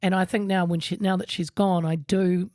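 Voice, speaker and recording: A woman's voice: on the low side, level tone, normal speed, a little mellow, dry recording, studio quality. A woman co-hosting a casual podcast chat, talking openly and laughing often. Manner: chatty, laughs often, opens up on personal matters. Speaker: podcast co-host.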